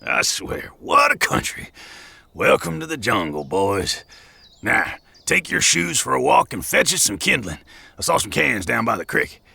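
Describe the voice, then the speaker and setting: An actor playing a sly, florid hobo boss in an audio drama, with a rough voice and folksy, mock-fancy wording. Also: male voice, mid-range voice, big pitch swings, a little slow, bright, forceful tone, no room sound, exaggerated voice. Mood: delighted, expansive. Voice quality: gravelly, rough. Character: sly, florid older hobo presiding over a stew pot.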